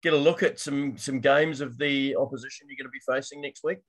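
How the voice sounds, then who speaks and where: A man's voice: mid-range voice, fairly even intonation, brisk, slightly rough. Man hosting a sports podcast. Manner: friendly, questioning. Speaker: podcast host. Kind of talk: casual interview on a video call.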